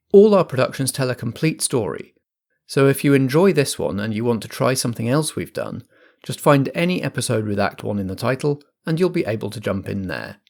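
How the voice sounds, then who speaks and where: A man hosting a role-play podcast as compere, with a measured, theatrical scripted delivery. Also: medium pitch, vocal fry. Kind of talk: scripted narration and introduction.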